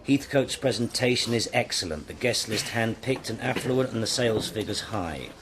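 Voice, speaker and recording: An actor playing a stern British senior detective in a radio drama, with a clipped, commanding delivery. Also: male voice, medium pitch, lively intonation, moderate pace, noisy background, lightly acted. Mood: businesslike. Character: stern, authoritative male senior police detective briefing his team. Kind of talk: BBC radio drama acting.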